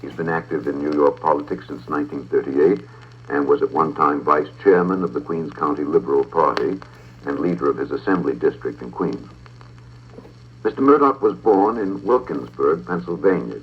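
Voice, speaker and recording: A middle-aged man with a very low, husky voice reads scripted narration. His delivery is measured and formal, with precise diction. The sound is dull and muffled, like an old talking-book recording. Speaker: audiobook narrator and presenter.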